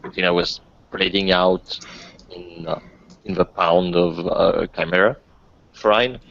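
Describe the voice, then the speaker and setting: Slow, very deep-voiced man. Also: steady tone, gravelly, a bit bright. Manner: tentative, halting. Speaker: tabletop role-playing game player. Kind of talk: casual game-session chat. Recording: dull, lo-fi voice chat audio.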